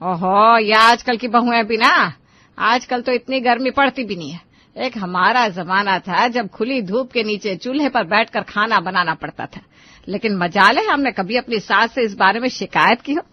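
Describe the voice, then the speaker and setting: A stingy older mother-in-law from a family cartoon, acted by a woman. Her voice sits fairly high and she scolds in a bossy, dismissive way. The recording is close and dry, a little dull. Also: normal speed, dry recording, close to an everyday voice. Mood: bossy, complaining. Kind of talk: Hindi cartoon story voice acting.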